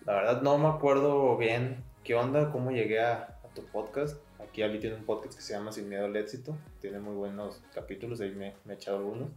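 A man's voice: lowish voice, brisk, few pauses, echoey room. A man in his twenties from Sinaloa with a Mexican accent, hosting a casual podcast with lots of fillers. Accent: Mexican Spanish. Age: 20s. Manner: relaxed, chatty, many fillers like "pues". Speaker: podcast host. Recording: faint music, some outside noise.

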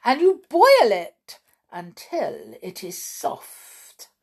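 A woman voicing a ruthless, vain female witch leader, giving orders in slow, emphatic, theatrical pronouncements in a storybook reading. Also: a little high, wildly swooping pitch, slightly rough, pitched above the performer's own voice, full character voice. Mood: scheming. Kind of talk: audiobook character reading.

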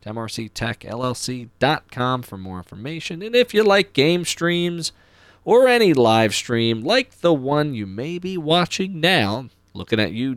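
Male podcast host, upbeat and informal, animated melodic delivery, dry studio-style sound. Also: medium pitch, clear, resonant tone, no echo. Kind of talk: podcast presenting, chatty announcements.

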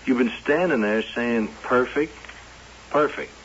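A man's voice: mid-range voice, natural voice. An actor playing a nervous, stammering male carnival worker in a radio drama. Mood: uneasy. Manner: halting, stammering. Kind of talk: 1970s radio drama acting. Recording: hissy, dull old radio broadcast.

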